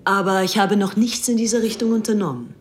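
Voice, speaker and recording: A woman's voice: mid-range voice, fairly quick, lightly acted. An actress playing a fervent, haughty young English society woman in a German radio play, gushing and self-assured. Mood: indignant, earnest.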